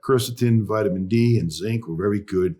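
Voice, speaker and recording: A man's voice: lowish voice, brisk, mellow. A middle-aged man with a Boston accent, a guest telling his story in a chatty interview, full of asides. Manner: chatty, tells stories with quoted dialogue. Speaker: guest, company phone coach.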